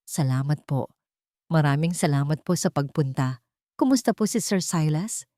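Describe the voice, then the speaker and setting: A woman's voice: sits low, lively intonation, normal speed, dark tone, no echo, clean studio sound. A Filipino woman voicing a humble, polite and emotional working woman in a storybook drama. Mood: relieved, grateful. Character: humble, anxious, grateful young working woman.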